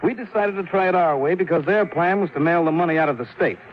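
An actor playing a serious, guarded chief insurance adjuster in a 1950s radio drama, speaking gravely and deliberately. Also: male voice, a little high, lightly acted. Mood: guarded, earnest. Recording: dull, noisy 1950s radio recording.

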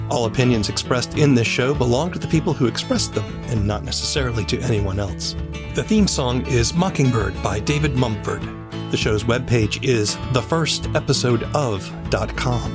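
A man narrating a scripted podcast segment as its host. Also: mid-range voice.

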